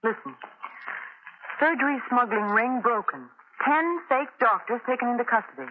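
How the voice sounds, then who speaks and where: An actress playing a crime fighter's concerned, devoted companion in a 1930s radio drama, earnest and attentive. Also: female voice, a little high, sing-song, normal speed, background noise. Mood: pleased, calm. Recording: muffled vintage 1930s radio recording, music.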